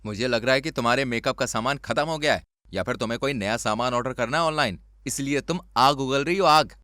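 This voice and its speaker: A man's voice: medium pitch, quick pace, pushed and bright, dry recording, studio quality, natural voice. A man playing a greedy, exasperated poor village husband in a cartoon story, sarcastic and grumbling. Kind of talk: Hindi cartoon story dubbing.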